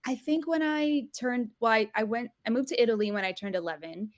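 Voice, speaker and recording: A woman's voice: a little high, brisk, no room sound, studio quality. A woman in her thirties from Portugal, a podcast guest chatting casually and often restarting her sentences. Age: 30s. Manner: chatty, self-correcting, laughs easily. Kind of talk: casual interview chat.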